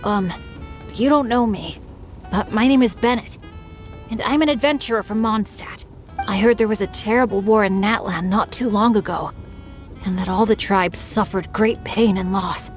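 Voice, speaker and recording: A woman's voice: medium pitch, normal speed, soft background music, close to an everyday voice. A woman voicing an earnest, good-natured teenage boy adventurer in an anime-style game, chatty and a little bashful. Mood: earnest, wistful.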